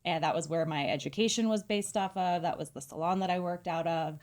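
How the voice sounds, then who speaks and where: A middle-aged woman from California, a hairdresser, telling her story in a relaxed, chatty way with fillers and small laughs. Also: fairly low pitch, a little fast. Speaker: hairdresser guest. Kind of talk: casual interview storytelling.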